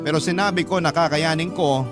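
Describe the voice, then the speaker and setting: A man's voice: on the high side, fairly even intonation, brisk, over loud music. A man reading a scripted first-person story as a radio narrator, in an earnest, sentimental way.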